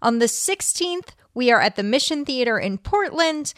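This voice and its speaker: A woman with a slightly high voice co-hosts a podcast. She introduces the show and makes announcements directly to listeners. The sound is dry, with little room echo. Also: expressive, moderate pace, fairly bright tone. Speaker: podcast co-host.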